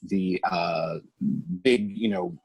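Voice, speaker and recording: A man's voice: sits low, extremely slow, a little mellow, slightly rough, dry recording, rough recording. A man talking as a podcast guest, reflective and sometimes searching for words. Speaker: guest, medicine practitioner. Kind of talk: casual interview chat. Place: Sao Paulo, Brazil.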